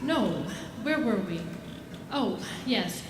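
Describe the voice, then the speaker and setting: A woman playing a brisk, no-nonsense superintendent of angels in a live radio play. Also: mid-range voice, lively intonation. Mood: firm, patient. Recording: roomy, noisy live stage recording.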